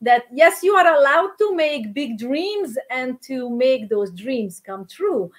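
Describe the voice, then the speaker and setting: High-voiced Hungarian-accented woman host. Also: expressive, slow pace. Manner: encouraging, speaks straight to viewers. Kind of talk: casual interview chat.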